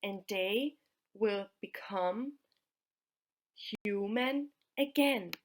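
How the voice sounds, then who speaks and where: A woman telling a fairytale in a halting, deliberate way, pausing between short groups of words. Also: medium pitch. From Austria. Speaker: storyteller. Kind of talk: slow fairytale storytelling.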